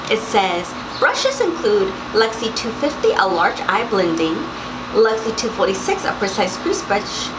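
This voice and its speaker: A young woman with a slightly raspy morning voice, chatting casually through a beauty box unboxing and reading product labels aloud. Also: mid-range voice, very noisy. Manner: chatty, reads labels aloud, frequent mm-hmms. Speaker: beauty vlogger.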